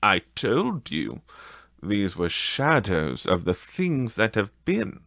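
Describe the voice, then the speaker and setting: A man's voice: on the low side, huge pitch swings, slow, vocal fry, fairly bright tone, no room sound, full character voice. A man voicing a solemn, insistent ghostly spirit in an audiobook of a Victorian tale.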